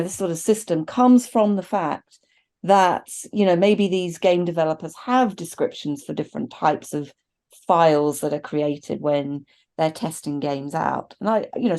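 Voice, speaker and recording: Middle-aged female podcast co-host, relaxed dark tone, slightly low register, reflective and chatty, discussing informally. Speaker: podcast co-host reviewing films. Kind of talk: casual discussion and commentary. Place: indoors at a desk, video call with virtual backdrop.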